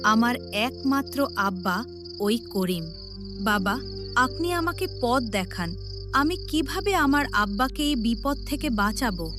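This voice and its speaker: A woman's voice: a little high, very animated, slow pace. A woman playing a devoted, brave teenage village girl in a cartoon story, with earnest, emotional delivery. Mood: earnest, pleading. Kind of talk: melodramatic cartoon story acting. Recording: loud music behind the voice.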